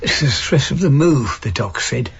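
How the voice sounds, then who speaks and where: Creaky old husband. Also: male voice, mid-range voice, lively intonation, moderate pace, lo-fi sound, full character voice. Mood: sheepish, stubborn. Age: older. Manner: hesitant, fussing. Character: flustered, stubborn, devoted old working-class husband. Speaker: actor in a radio play. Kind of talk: naturalistic British radio drama acting.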